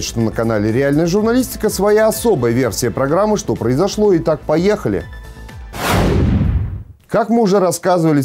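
A male news host in his thirties delivers a scripted, satirical bulletin over music. His voice sits a little high and is soft-edged, with a sardonic turn to the delivery. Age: 30s. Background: music bed.

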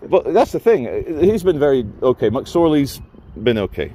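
A man's voice: fairly high pitch, sing-song, normal speed, mellow, a little hiss or hum. A man from Scotland, filming himself outdoors on a handheld phone, gives teasing, chatty commentary and argues politely. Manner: playful, teasing, politely argumentative. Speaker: vlogger filming a confrontation. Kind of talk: chatty, joking running commentary to camera. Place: outdoors by a gate and car park. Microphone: handheld phone camera.

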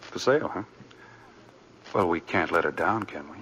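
A man's voice: sits low, moderate pace, a bit bright, slight background noise, poor audio. A man playing a friendly, easygoing lawyer in a 1940s radio drama. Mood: amused. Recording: old radio broadcast with music.

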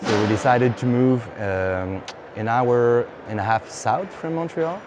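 Quebec French man, halting speech. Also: mid-range voice. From Quebec, Canada. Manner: halting, many fillers and restarts. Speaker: brewery founder. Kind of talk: on-camera interview answer. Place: indoor beer festival hall. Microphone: lapel mic. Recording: hall noise behind.

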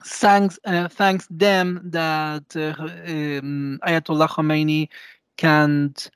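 A male podcast guest with an Argentinian accent, a native Spanish speaker. He talks in halting, non-native English, pausing to find words. The sound is dry, with no room echo. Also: fairly high pitch, level tone, clean tone. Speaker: podcast guest, professor of Persian. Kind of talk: interview conversation. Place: indoor room, video call.

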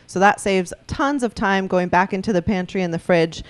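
A woman's voice: medium pitch, normal speed, no echo. Young woman, cooking-demo host on a handheld mic, bubbly and quizzing, dry close sound. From United States. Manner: bubbly, prompting with questions. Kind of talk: live cooking demonstration. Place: ship's show kitchen before an audience. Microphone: handheld microphone.

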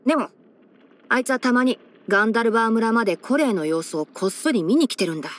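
A woman's voice: fairly high pitch, fast talker, lightly acted. A woman voicing a young male fox-eared ranger in a video game, scholarly and sensible, speaking in a composed, articulate, mildly wry way. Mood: dry, cautioning. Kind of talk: scripted video game character voice-over.

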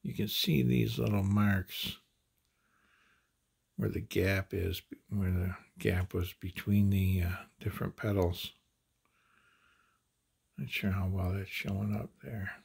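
A man with a husky, breathy voice talks slowly through a hands-on demonstration at an indoor table. His delivery is halting, full of fillers and restarts, and his voice sits a little low. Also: fairly even intonation, darkish tone. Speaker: handloading channel host.

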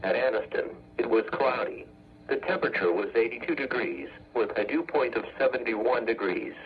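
Muffled synthetic male weather radio voice. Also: medium pitch. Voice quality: synthetic text-to-speech voice. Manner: measured, formulaic, segmented phrases. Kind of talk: automated weather bulletin reading.

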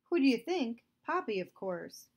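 Lady doing a bossy angry dad, loud and raised up, storybook reading. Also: mid-range voice, expressive, normal speed, lightly acted. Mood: stern. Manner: accusing, domineering.

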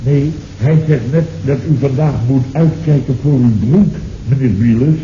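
Blustering boss played by an actor, noisy echoey recording. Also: male voice, medium pitch, moderate pace, mellow, natural voice. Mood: exasperated storytelling. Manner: blustering, repeats himself, hearty exclamations. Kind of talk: Dutch radio comedy acting, circa 1970. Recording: echoey, muffled, noisy old radio recording.

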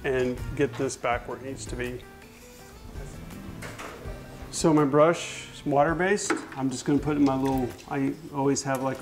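Gravelly man chatting through a staining job. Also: mid-range voice. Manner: relaxed, rambling, self-correcting mid-sentence. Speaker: furniture refinisher. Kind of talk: casual how-to narration while working. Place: indoor workshop at a paint-spattered table. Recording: music playing under the voice. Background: music.

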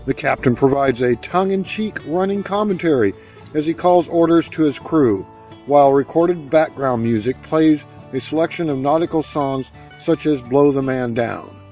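A man reading a scripted documentary narration in a steady, factual way. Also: on the high side, moderate pace, soft background music. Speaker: narrator of a park history video.

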